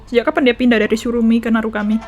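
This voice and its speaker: High-voiced Indonesian woman streaming a game. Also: very fast. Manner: giggly, emotional, expressive. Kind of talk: casual gameplay commentary, mixing languages. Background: game music.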